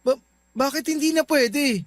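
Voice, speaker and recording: A man's voice: very high-pitched, a little slow. A male actor playing an earnest young suitor and boyfriend in a radio love drama, speaking in a pleading manner. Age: young adult.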